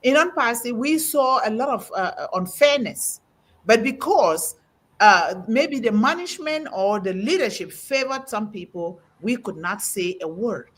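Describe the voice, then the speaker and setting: A middle-aged woman with a husky, breathy voice speaks to a panel in an emphatic, preaching manner. Her pitch swings widely as she quotes scripture and presses her points home. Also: lively intonation, unhurried. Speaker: host. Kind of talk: preaching-style talk on a panel.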